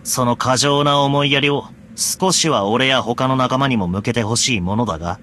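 A man's voice: medium pitch, normal speed, fairly bright tone, slight background noise, close to an everyday voice. A man voicing a young knight in an anime game, blunt and sardonic, exasperated but caring. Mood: wry, reflective. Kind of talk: Japanese anime game voice acting. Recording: light background music.

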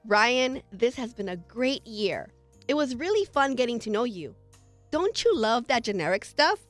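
A woman's voice: fairly high pitch, big pitch swings, normal speed, no echo, faint music behind. An American woman reading a note aloud as a co-host on a radio show. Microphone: close studio microphone.

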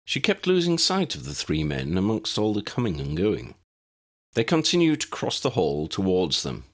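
A man's voice: low-pitched, wildly swooping pitch, moderate pace. A man reading a scripted story as an audiobook narrator.

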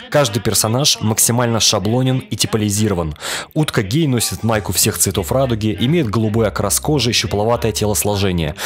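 A young man from Russia delivering a scripted, wry review as a voice-over. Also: lowish voice. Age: young adult. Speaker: video reviewer. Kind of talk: scripted cartoon review narration. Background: background music.

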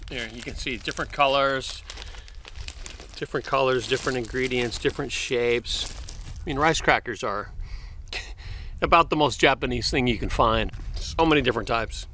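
Bright-voiced man reviewing snacks. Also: mid-range voice, normal speed, a little hiss or hum. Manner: chatty, eating while talking, lots of mm sounds and exclamations. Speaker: food vlogger. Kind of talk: casual food review to camera. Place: outdoors in a wooded park, seated at a bench.

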